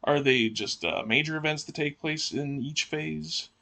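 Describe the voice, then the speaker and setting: Podcast cohost guy, sharp voice w lots of fry, kinda halting, thinks out loud. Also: fairly high pitch. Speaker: podcast co-host. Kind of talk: conversational podcast discussion, asking questions.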